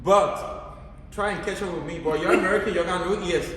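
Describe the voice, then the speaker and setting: A man's voice: high voice, fairly quick, a little hiss or hum. Liberian-accented man, echoey distant room. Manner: animated storyteller, acts out quoted exchanges. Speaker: comedian guest. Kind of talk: casual interview chat.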